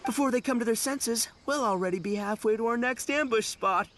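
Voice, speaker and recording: A man's voice: extremely high pitch, fairly quick, a bit bright, slight background noise. An actor playing a boastful, boisterous young male gang leader, brash and theatrical, in an English video game dub. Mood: scheming, excited. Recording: music behind the voice.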